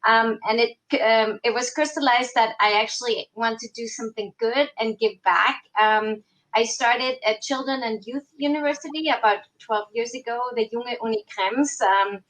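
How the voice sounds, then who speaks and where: Earnest, higher-voiced woman panelist. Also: moderate pace, a bit bright, rough recording. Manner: earnest, with frequent ums. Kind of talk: casual panel talk. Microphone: video call.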